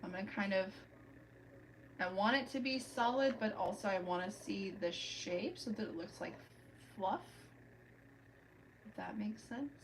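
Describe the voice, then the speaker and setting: A woman's voice: medium pitch, a little slow, long pauses, distant mic, poor audio. A woman chats away to her live viewers while she works on a manicure, talking through every step as she goes. Her voice sits a little off the mic. There is a faint hum of room noise behind her. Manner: chatty, self-narrating, talks to chat while working. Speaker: livestream host doing nail art. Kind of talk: casual chat with live viewers. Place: home, doing a manicure.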